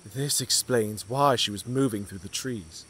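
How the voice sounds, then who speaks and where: A man's voice: fairly low pitch, unhurried, a bit bright, a little hiss or hum. A man playing a curious, questioning young seafarer in a fantasy audio drama, inquisitive in manner.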